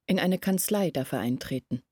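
An anxious village woman in a literary radio drama, thinking aloud earnestly and deliberately. Her voice sits a little low, with a breathy, husky grain. The sound is very dry and intimate. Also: lively intonation, mellow, no room sound. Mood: troubled, doubting. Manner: earnest, deliberate, searching, questioning herself. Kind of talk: literary radio play acting, long monologues. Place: dry studio.

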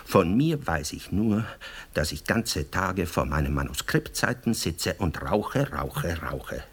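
An actor in a radio drama playing a jealous, self-pitying novelist lover, theatrical, bitter and mocking, swinging from mutters to outbursts. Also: male voice, low-pitched, big pitch swings, slow pace, breathy edge, heavily acted.

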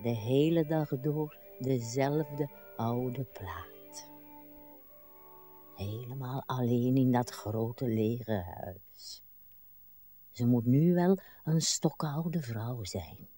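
An actress playing a weary, plaintive old wife in a radio drama, by turns murmuring and insistent. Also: female voice, rumbling low, dark tone, faint music behind, heavily acted. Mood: weary, tender. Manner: slow, theatrical, swinging between murmurs and cries. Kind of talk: broadcast radio drama acting.

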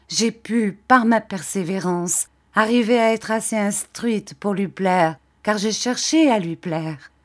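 A woman's voice: fairly low pitch, a little mellow. A woman reading a storybook, voicing a bitter scarred woman in passionate fury, with imperious, accusing commands and reproaches. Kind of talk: dramatic audiobook acting of a classic novel.

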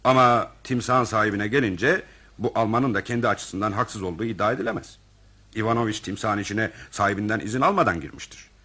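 An actor in a radio play voicing a callous, self-serving office director who talks in an officious, evasive, digressive way. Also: male voice, fairly low pitch, expressive, brisk, bright, forceful tone, full character voice. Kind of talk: radio theatre acting.

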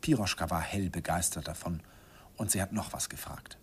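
A man voicing a deep-voiced wistful narrator. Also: moderate pace, a little hiss or hum, natural voice. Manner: wistful, self-ironic reminiscing. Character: grown man fondly recalling his youth, self-mocking. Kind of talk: radio play narration.

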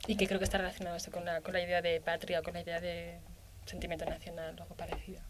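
Low, flat-voiced woman on radio. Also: very fast, background noise. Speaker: discussion guest. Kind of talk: radio round-table discussion. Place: radio studio.

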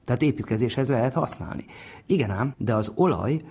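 A man's voice: mid-range voice, lively intonation, vocal fry, darkish tone, husky. A man giving an informal, explanatory talk as an expert on the ancient world, stressing key words conversationally. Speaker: expert on classical antiquity.

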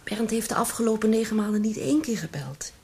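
A woman acting a weary, concerned wife in a radio drama, soft and pleading. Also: a little high, animated, fast, natural voice. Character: weary, concerned wife coaxing her husband to bed.

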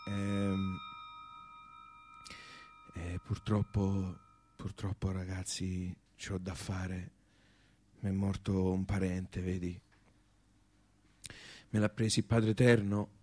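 An actor playing a mournful, resigned provincial man with a Tuscan accent, halting and repeating himself in naturalistic stage dialogue. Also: sits low, monotone, a little mellow. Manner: halting, repetitive, trailing off.

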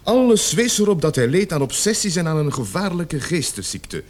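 A man acting in a Dutch radio play as a gruff, authoritative police sergeant with deliberate, emphatic delivery. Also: fairly high pitch, very animated, a little hiss or hum. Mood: formal, emphatic. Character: gruff, authoritative male police sergeant leading a murder investigation. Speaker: radio drama actor.

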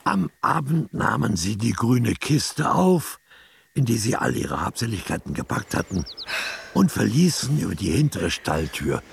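A man with a gravelly, raspy voice narrating a literary radio drama from a script. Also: lowish voice, big pitch swings, a little slow.

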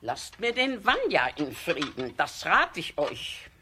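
A little old peasant aunt in a children's radio drama. Her voice swings widely in pitch as she scolds emphatically, fiercely protective and doting underneath. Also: wildly swooping pitch, slow, background noise, heavily acted. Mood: scolding, defiant. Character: small hunchbacked village aunt, scolding but doting housekeeper. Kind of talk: children's radio play acting.

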